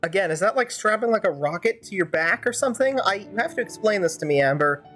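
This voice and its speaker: A woman's voice: mid-range voice, lively intonation, fast talker, a bit bright, soft background music. A woman streamer improvising fan-dub commentary over a game, sarcastic and rambling.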